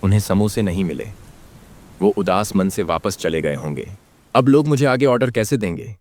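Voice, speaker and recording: A man's voice: lowish voice, lively intonation, moderate pace, darkish tone. A man voicing an earnest, humble young samosa seller in a plaintive manner for a children's cartoon. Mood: worried. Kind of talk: Hindi children's moral-story cartoon dub.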